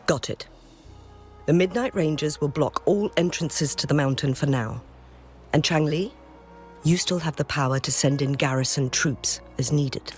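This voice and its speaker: A woman's voice: low voice, unhurried. Dutiful, courteous female commander. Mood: earnest, courteous. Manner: formal, polite, businesslike. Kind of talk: scripted video game dialogue. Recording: music behind the voice.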